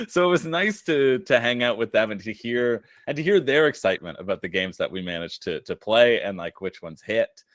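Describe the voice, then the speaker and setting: A man's voice: lowish voice, moderate pace, no room sound, studio quality. A man chatting casually on a board game stream, telling stories animatedly and acting out what his friends said. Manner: animated storytelling, acts out quoted friends, laughs often. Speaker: board game content creator, guest co-host. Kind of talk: casual live-stream chat.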